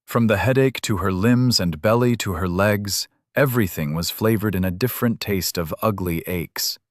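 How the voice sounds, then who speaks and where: A man reading a fantasy audiobook in a measured, unhurried manner. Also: lowish voice, no echo, studio quality. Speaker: audiobook narrator. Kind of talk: scripted fantasy narration.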